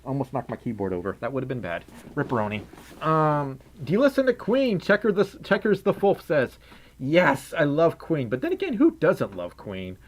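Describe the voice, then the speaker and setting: A man's voice: a little high, very animated, normal speed. Giggly young man with a scratchy voice. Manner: playful and giggly, hums idly between chat messages. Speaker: streamer. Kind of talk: casual livestream chat reading donations.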